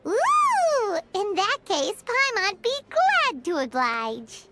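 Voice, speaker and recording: A woman voicing a tiny, excitable, food-loving childlike fairy companion in a video game, with a squeaky, exuberant manner. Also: takes it slowly, loud music behind the voice, exaggerated voice. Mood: delighted, greedy. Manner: squeaky, exuberant, third-person self-reference. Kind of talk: animated video game voice acting.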